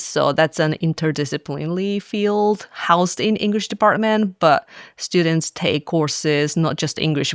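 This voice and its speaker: A woman's voice: low-pitched, clean tone, no echo, studio quality. A woman from Japan, a faculty member chatting in an interview, laughing often and filling with "like". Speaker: faculty guest. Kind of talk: casual interview chat.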